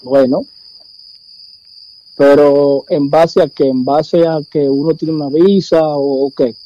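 A man's voice: on the high side, fairly even intonation, slow pace, dark tone. A man answering questions on a phone call, earnest and hesitant, repeating phrases. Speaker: prank call victim.

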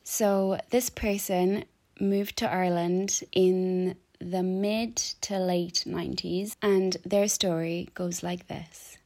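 A woman's voice: on the low side, steady tone, slow pace, dark tone. Chatty young woman podcast host. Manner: chatty, lots of "like", laughs easily. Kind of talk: casual storytelling chat.

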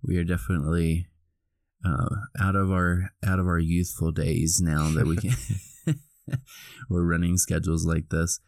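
A man co-hosting a podcast in casual chat, hoarse and groggy from a cold, laughing easily. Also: low voice, level tone, moderate pace, darkish tone, no room sound, clean studio sound. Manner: laughs often, easygoing. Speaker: podcast co-host.

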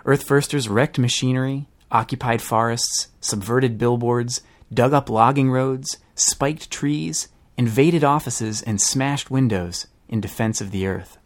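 A man's voice: medium pitch, a little slow, hardly any pauses. A man reading scripted documentary-style narration for a podcast. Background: music.

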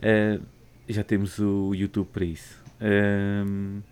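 A man's voice: fairly low pitch, completely flat delivery, slow pace, a little mellow, smooth. A man with a European Portuguese accent hosting a casual gaming podcast, rambling along with many fillers. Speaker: podcast host.

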